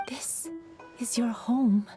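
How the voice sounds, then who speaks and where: A woman voicing a mournful woman in a memory, speaking softly and pleading. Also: on the high side, steady tone, takes it slowly. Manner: soft, hushed pleading. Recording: music underneath.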